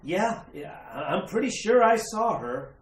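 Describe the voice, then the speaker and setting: A man's voice: high voice, big pitch swings, unhurried. A man playing a polite, puzzled older judge in retro radio drama style, hesitant, stammering and folksy. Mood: uneasy, uncertain. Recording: echoey, roomy recording.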